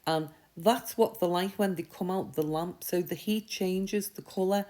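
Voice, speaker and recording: A woman with a slightly croaky voice from a mild sore throat, giving a chatty, reassuring, step-by-step tutorial. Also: on the low side, unhurried, dark tone. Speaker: nail art tutorial host. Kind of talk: casual step-by-step tutorial voice-over.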